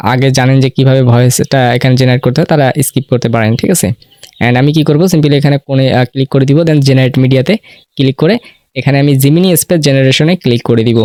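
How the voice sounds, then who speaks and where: A man's voice: medium pitch, a little mellow, dry recording, clean studio sound. A man presenting an informal step-by-step screen tutorial, often checking that listeners follow along. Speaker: tutorial host.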